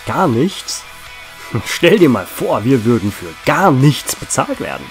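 A man's voice: mid-range voice, big pitch swings, measured pace, soft background music. A man playing a weary, authoritative small-town sheriff with a dry sarcasm, in an amateur audio drama. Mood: weary, sardonic. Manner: authoritative, sardonic.

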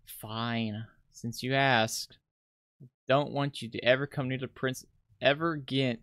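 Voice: medium pitch, steady tone, unhurried, a bit bright, no echo. Performer putting on a haughty, flustered rich schoolgirl. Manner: stammering, imperious. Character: haughty, easily flustered rich schoolgirl, mean but softening.

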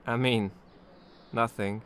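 A shy young man on his balcony, hesitant and a little awkward with a stranger. His speech comes slowly, with stops and restarts, in a home-recorded audio play. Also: mid-range voice, steady tone, a bit bright, slight background noise. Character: young man Tariq, shy lonely lodger. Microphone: home recording booth.

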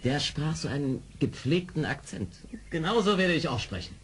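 An actor playing an earnest, determined army major in an audio drama, polite and articulate. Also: male voice, a little high, big pitch swings, moderate pace, fairly bright tone, breathy edge, heavily acted. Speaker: actor in a radio play. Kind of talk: 1970s German audio drama acting.

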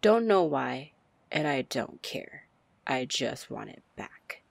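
A woman playing a confident, commanding, flirty female pirate captain in an ASMR fantasy roleplay, breathy and deliberate. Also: very animated, dry recording, heavily acted. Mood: businesslike, resolute. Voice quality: breathy, low, husky. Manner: slow, deliberate, smooth-talking. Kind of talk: ASMR roleplay acting, fantasy audio drama.

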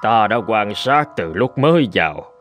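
An actor playing a calm, wise old abbot in a cartoon folk tale, speaking in a measured, teacherly manner. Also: male voice, on the low side. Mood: solemn. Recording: music under the voice.

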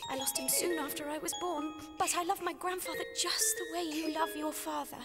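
A woman's voice: high-pitched, steady tone, brisk. An actress playing an earnest, kind-hearted teenage schoolgirl heroine in a horror video game. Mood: gentle, sorrowful. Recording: loud music under the voice.

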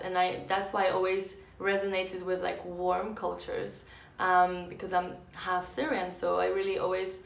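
A woman's voice: medium pitch, a bit bright. Young woman rambling to her cam, lots of "like" and restarts, roomy bedroom echo. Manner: rambling, many fillers and restarts. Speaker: vlogger. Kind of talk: casual chat to camera. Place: bedroom indoors. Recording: echoey room.

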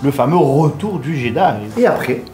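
A man's voice: on the high side, animated, normal speed, mellow, echoey room, faint music behind. A man asking quiz questions in a comic talk show, playful and teasing. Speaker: quiz host.

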